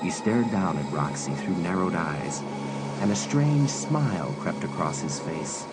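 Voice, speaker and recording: A man's voice: deep voice, expressive, measured pace, loud music behind the voice. A man narrating a dramatised audiobook as a scripted storyteller.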